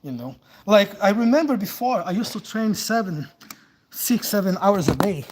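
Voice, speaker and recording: A man's voice: high voice, lively intonation, moderate pace. A man in his forties from Brazil with a Brazilian Portuguese accent, chatting casually on a livestream, telling stories and answering viewer comments, recorded on a phone set on the floor of a large warehouse. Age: 40s. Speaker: vlogger. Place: large open warehouse, indoors. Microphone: phone camera set on the floor.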